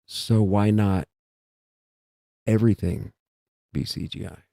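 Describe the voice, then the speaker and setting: A man's voice: fairly low pitch, steady tone, slow, vocal fry, warm tone, no room sound. A man hosting a casual monologue, rambling and interrupting himself as he muses aloud, recorded close and dry. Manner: meandering, self-interrupting, musing aloud. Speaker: host.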